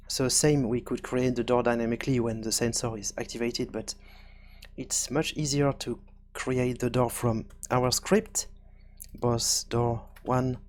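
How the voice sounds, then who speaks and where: A man presenting an unscripted software tutorial, explaining step by step with frequent pauses in mid-sentence. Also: mid-range voice.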